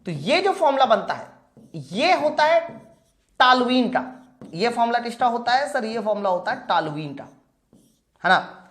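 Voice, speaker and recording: In an echoey room, a woman teaches a science class in a fairly high voice. She puts questions to her students, answers them herself and drills the key points by repetition. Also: big pitch swings, moderate pace, long pauses, fairly bright tone.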